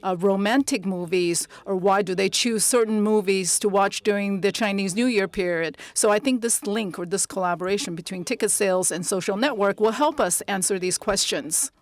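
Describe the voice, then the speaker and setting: A woman interpreting live at a conference, speaking in measured, segmented phrases with pauses. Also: medium pitch, dry recording. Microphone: close interpreter's microphone.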